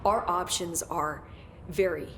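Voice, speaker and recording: Nervous young scientist lady in a game, lowish voice, halting and earnest, faint room noise. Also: fairly bright tone. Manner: rambling, halting, apologetic, earnest. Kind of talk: cinematic video game acting.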